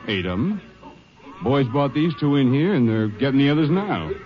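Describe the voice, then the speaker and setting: A man's voice: medium pitch, sing-song, normal speed, close to an everyday voice. An actor playing a plain-spoken Texas rancher with a rural Western drawl, protesting his innocence in a 1950s radio western. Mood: worried, protesting. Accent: rural American Western drawl. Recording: dull old radio recording.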